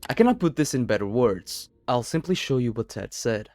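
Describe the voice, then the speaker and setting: A man delivers scripted horror narration with dramatic, animated swings in a mid-range voice. The recording is dry and close, without room echo. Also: mid-range voice, a little fast. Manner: dramatic storytelling. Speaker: video narrator.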